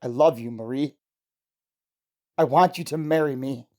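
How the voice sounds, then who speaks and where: A man's voice: mid-range voice, unhurried, lightly acted. Radio play lead: man acting a young male scientist, earnest, romantic, pleading. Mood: ardent. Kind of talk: old-time radio drama acting.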